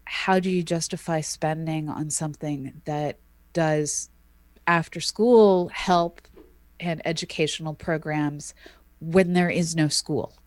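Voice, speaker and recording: A woman co-hosting an astronomy podcast, explaining at length in a measured, thoughtful way with frequent ums. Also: low voice, steady tone, no echo. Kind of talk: casual explanatory chat.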